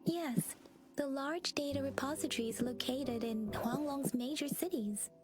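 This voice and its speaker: Game role: scholarly young female investigator, high voice, musing and inquisitive, under clear music. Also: unhurried. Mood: explanatory. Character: thoughtful, scholarly young woman investigating old archives. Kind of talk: video game voice acting. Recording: loud game music behind.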